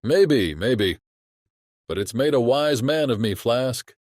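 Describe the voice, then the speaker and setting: A man's voice: medium pitch, animated, slow, darkish tone, dry recording, clean studio sound. A man playing a chatty sailor who tells a yarn to his shipmate in colloquial, exclamatory audiobook acting. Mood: confiding wonder.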